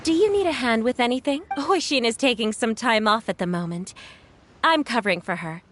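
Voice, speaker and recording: An actress as a helpful female assistant in a video game. She is polite and dutiful in a fairly high voice, with faint music underneath. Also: animated, fairly quick, close to an everyday voice.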